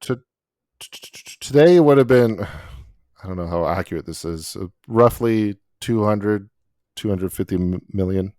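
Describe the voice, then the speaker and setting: A man hosting a casual podcast chat in a relaxed way. He chuckles often and reads figures off his notes. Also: lowish voice, normal speed, dry recording, clean studio sound. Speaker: podcast host.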